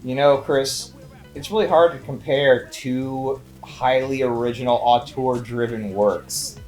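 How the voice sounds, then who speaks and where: A man's voice: mid-range voice, fairly even intonation, measured pace, darkish tone, soft background music. Wry male co-host bantering about movies. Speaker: movie review show co-host. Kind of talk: casual banter and film review.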